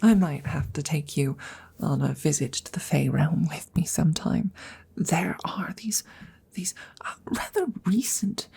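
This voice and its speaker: Woman playing a whispering, courtly dragon lady, slow and breathy. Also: low voice, expressive, full character voice. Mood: proud, wondering. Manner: halting, formal, old-fashioned phrasing, gently coaxing. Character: courteous, eccentric female dragon hoarder courting a princess. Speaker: ASMR roleplay performer. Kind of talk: ASMR fantasy roleplay.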